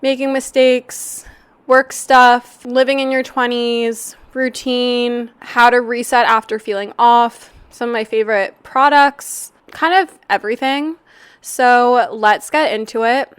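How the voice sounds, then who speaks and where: A Canadian woman in her twenties hosting a casual solo podcast, her voice raspy and a bit nasal from a flu cough, chatty and candid with casual swearing. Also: fairly high pitch, fairly even intonation, slow. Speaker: podcast host.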